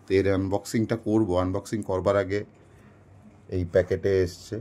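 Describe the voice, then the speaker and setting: A man's voice: low voice, flat delivery, brisk, dark tone. A man casually narrating an unboxing in an explanatory way, mixing in English phrases. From India. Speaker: product unboxing presenter.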